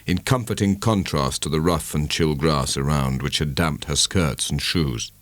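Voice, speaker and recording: A man narrating a literary audiobook in a measured, dramatic storytelling manner. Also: a bass voice, fairly bright tone, no room sound.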